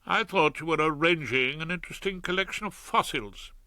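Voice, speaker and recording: Audiobook wife, stern and sarcastic, always reproving, as a male narrator puts her on; raised pitch, bright edge. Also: fairly quick, no room sound. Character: stern disapproving middle-aged wife. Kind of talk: audiobook character voice.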